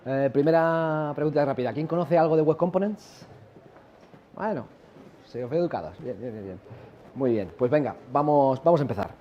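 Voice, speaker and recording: A man's voice: on the high side, dark tone, lo-fi sound, a little hiss or hum. A man from La Mancha with a Castilian Spanish accent, giving a chatty conference talk and throwing questions at the audience. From La Mancha, Spain. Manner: chatty, asks the audience questions, many tag questions. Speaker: conference speaker. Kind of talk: live tech conference talk.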